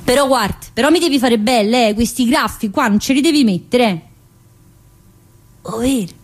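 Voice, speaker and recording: A restless, teasing middle-aged woman inmate, talking in an earthy stream of asides and fillers as an actress plays her on stage. The voice sits a little high for a woman. It is a one-woman theatre monologue. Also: moderate pace, close to an everyday voice.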